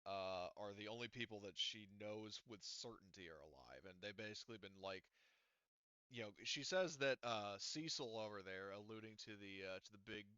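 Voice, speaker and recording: A man's voice: on the low side, normal speed, sharp tone, smooth, no room sound. A man running a tabletop roleplaying game, narrating loosely with lots of fillers and casual swearing. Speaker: game master. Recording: muffled lo-fi audio, dry.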